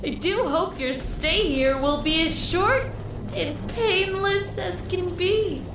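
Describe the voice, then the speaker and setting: A woman's voice: extremely high pitch, takes it slowly. A woman playing a cheerfully sadistic torture droid with mock politeness in a sci-fi audio drama. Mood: gleeful welcome. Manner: gleeful, sing-song mock politeness. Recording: roomy, distant, clearly noisy ambience.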